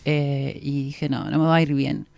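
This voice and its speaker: A woman with an Argentine accent chatting casually as a musician guest in an interview, playfully joking in a deadpan way. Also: extremely low pitch, moderate pace, gravelly, no room sound.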